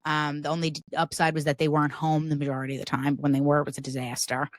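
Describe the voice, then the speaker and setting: Woman, deep voice, rambling casual chat, dry close mic. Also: flat delivery, quick pace, dry recording. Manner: rambling, heavy use of "like" fillers. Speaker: podcast guest.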